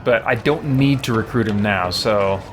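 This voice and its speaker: A man's voice: on the low side, moderate pace, noisy background. A man streaming a game, chatting casually and musing aloud as he plays. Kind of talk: casual live gameplay commentary. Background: game music.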